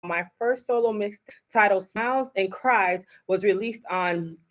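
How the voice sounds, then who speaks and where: A woman with a Haitian American accent hosting a casual studio interview, chatty and prone to correcting herself mid-sentence. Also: unhurried. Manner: chatty, stumbles over words and corrects herself. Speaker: show host. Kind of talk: casual interview, reading a guest bio. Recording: muffled low-fidelity studio sound.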